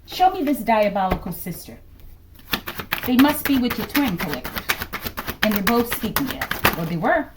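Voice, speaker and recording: A woman giving a casual tarot reading to her viewers, musing aloud and often ending phrases low as she addresses them. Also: very animated, measured pace, pauses often, heavy background noise. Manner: musing, repeatedly addresses listeners as collective, trails off low at line ends. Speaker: tarot reader.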